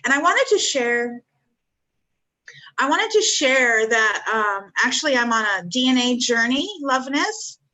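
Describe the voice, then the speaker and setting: A woman in her fifties with a bright, clear voice, sitting a touch higher than most. She talks earnestly and keeps repeating words of gratitude in an easygoing spiritual chat. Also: lively intonation, measured pace, rough recording. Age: 50s.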